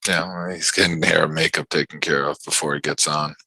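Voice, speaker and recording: A man hosting a live trading chat on a video call, his voice worn from yelling, rambling and stammering through repeated words. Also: a bass voice, fairly even intonation, fairly quick, no echo. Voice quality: gravelly and husky. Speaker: trading show host.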